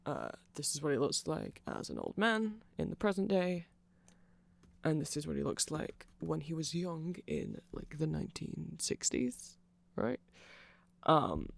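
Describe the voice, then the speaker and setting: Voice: medium pitch, creaky, no room sound. A person giving a rambling, informal and opinionated ASMR-style explanation as a content creator.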